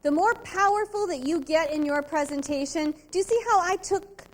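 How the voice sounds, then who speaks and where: A middle-aged woman, giving an upbeat, precise and animated sales training talk at a convention. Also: high voice, normal speed.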